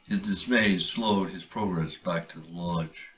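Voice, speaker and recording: A man reading aloud a short story he wrote, in a measured, deliberate way. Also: deep voice, fairly bright tone, recorded from a distance, poor audio. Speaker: author reading his own story.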